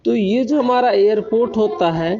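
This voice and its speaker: An Indian man giving an explanatory technical lecture, often pausing to check that listeners follow. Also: sits high, dark tone. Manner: frequent check-in tags while explaining. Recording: dull lo-fi recording.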